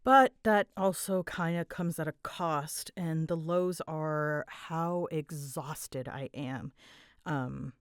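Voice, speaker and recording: A woman with a slightly low voice talks informally, as a creator answering questions from listeners. She rambles in a self-deprecating, conversational way. The recording is dry, with very little room sound. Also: takes it slowly, clean tone, no room sound. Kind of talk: casual rambling Q&A chat.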